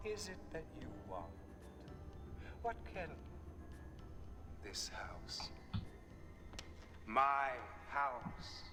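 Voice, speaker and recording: An actor playing the sinister, seductive master of a haunted house in a game cutscene, theatrical and insinuating, with dramatic pauses. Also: male voice, on the high side, heavily acted. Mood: seductive, tempting. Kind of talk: 1990s adventure game cutscene acting. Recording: long hall reverb, distant, music underneath. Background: music.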